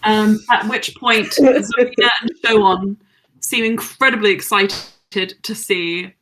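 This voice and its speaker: A woman's voice: medium pitch, steady tone, slow pace, bright, forceful tone, a little hiss or hum, low-quality recording. A young woman narrating a tabletop game as game master, chatty, with many fillers.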